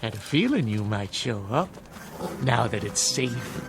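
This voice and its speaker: A man's voice: medium pitch, very animated, a little slow. An actor playing a gossiping old man in an old Western drama, folksy and needling, with an American Western accent. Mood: sly, ominous. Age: older. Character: gleeful, gossiping, eerie old male Western geezer. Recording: vintage TV drama audio with music.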